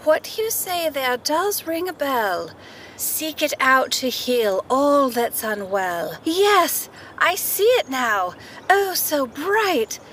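An actress playing a theatrical fortune teller who speaks in lofty, sweet, singsong rhyme in a fantasy audio drama. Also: female voice, high-pitched, expressive, takes it slowly, fairly bright tone, a little hiss or hum, full character voice. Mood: urgent prophetic.

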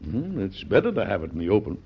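A folksy aging president, with a low, gravelly voice that rises and falls freely. He speaks earnestly and a little absent-mindedly, in old radio drama style on a dull recording. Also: huge pitch swings, quick pace, exaggerated voice. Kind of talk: old-time radio drama acting and narration.

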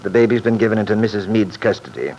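An actor playing a plainspoken, opinionated middle-aged Scottish country lawyer in an old-time radio drama, folksy and firm. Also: male voice, on the low side, lively intonation, fast talker, a little mellow, breathy edge. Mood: firm, defensive. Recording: noisy vintage radio recording.

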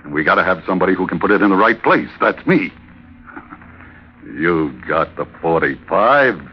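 An actor playing a breezy, sly, scheming sailor in old-time radio drama, cocky, insinuating and easygoing. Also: male voice, sits low, animated, moderate pace, slight background noise. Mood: persuasive, amused. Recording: dull vintage radio recording.

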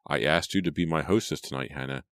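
Storybook part: male reader as headstrong father, very deep voice, curt and commanding, close dry sound. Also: fast, fairly bright tone, no room sound. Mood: impatient, sharp. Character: impatient, stubborn, stern father of grown daughters.

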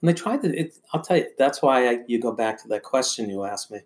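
An older man telling personal stories as a podcast guest, in a halting, rambling and earnest conversational way. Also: medium pitch, expressive.

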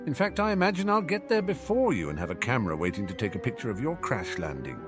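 A man voicing a sardonic, clever schoolboy wizard with a dry, teasing, deliberate manner in an audiobook. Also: fairly low pitch, very animated, over music. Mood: smug sarcasm.

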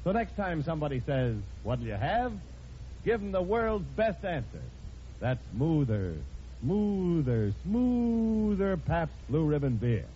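Animated American male radio announcer. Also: fairly high pitch, slow pace, a little hiss or hum. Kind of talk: 1950s radio announcing and sponsor read. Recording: muffled old radio recording, music behind.

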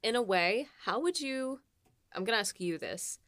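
A woman's voice: on the high side, animated, moderate pace, a bit bright, dry recording. A woman co-hosting a podcast in a casual, conversational way. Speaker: podcast co-host.

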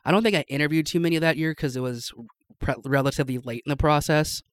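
A man's voice: fairly high pitch, quick pace. A man chatting casually on a podcast, telling stories in a rambling way with many restarts. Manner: rambling, self-interrupting storyteller with restarts. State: recovering from lost voice. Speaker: podcast guest. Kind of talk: casual chat.

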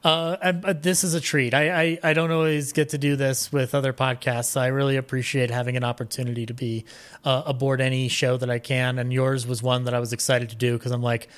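A man talking casually as a podcast guest, halting and effusive in manner. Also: mid-range voice, little pitch movement. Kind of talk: casual chat.

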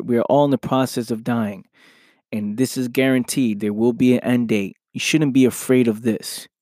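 Male podcast host, a bit hoarse, earnest motivational talk, close dry mic, mid-range voice. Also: mid-range voice, a little mellow, no echo. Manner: earnest, repeats "right?" often. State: slightly hoarse from losing his voice.

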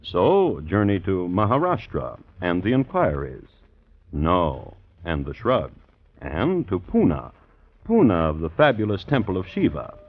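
An actor as a wry, measured storyteller, reading scripted narration in an old-time radio drama. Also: male voice, low-pitched, wildly swooping pitch, heavily acted. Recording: dull vintage radio recording.

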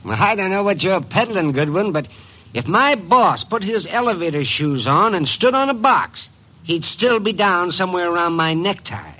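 An actor playing a brash, mocking office worker in a 1950s radio drama. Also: male voice, sits high, expressive, moderate pace, pushed and bright, full character voice, rough recording.

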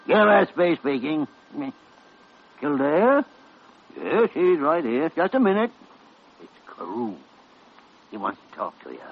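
An older man acting a crusty, teasing senior physician in an old-time radio drama, gruff, blustery and drawling. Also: a little high, sing-song, a little slow, long pauses, exaggerated voice. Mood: grumpy. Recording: muffled, noisy vintage radio recording.

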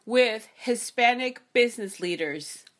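A woman's voice: on the high side, lively intonation, measured pace. A woman hosting a video podcast interview in an effusive, encouraging manner. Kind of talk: enthusiastic interview.

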